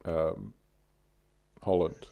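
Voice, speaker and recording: A man's voice: very deep voice, sing-song, very slow, creaky, phone-quality sound. A man co-hosting a livestream, chatting casually and explaining his opinions on a hobby. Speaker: livestream co-host. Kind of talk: casual hobby chat about tabletop wargames.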